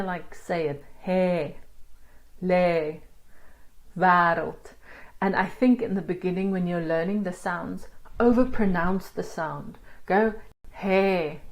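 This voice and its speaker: A woman with a South African accent, teaching pronunciation in a casual spoken lesson and sounding out words slowly. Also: fairly low pitch, a little mellow, low-quality recording. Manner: demonstrates sounds slowly, laughs at herself. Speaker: language teacher.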